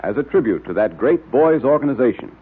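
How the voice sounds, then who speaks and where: A male radio announcer in vintage 1930s style, selling to young listeners with lively, swinging intonation. His voice is middle-pitched and a little rough, on a muffled old recording. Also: brisk. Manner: emphatic, addressing young listeners. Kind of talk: 1930s children's serial announcing and sponsor pitch.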